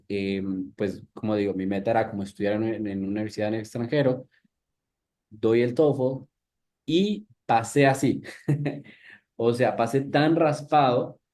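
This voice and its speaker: A man in his thirties, originally from Ecuador, leads an English-learning workshop. He talks loosely and conversationally, restarting sentences and pausing on fillers, and often turns to the audience with questions. His pitch sits in an ordinary middle range for a man. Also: steady tone, a little mellow. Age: 30s. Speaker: English teacher. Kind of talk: live workshop talk.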